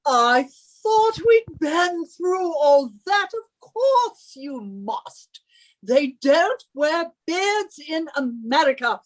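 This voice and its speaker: High, swooping, bossy wife played by an actress. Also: female voice, very animated, very slow, exaggerated voice, rough recording. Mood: bossy, impatient. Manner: imperious, scolding, henpecking. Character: bossy, nagging British wife of a professor, scornful. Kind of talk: radio suspense play acting.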